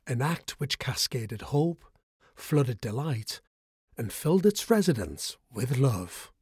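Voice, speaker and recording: Soothing, expressive bedtime storyteller man. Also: medium pitch, husky, dry recording, clean studio sound.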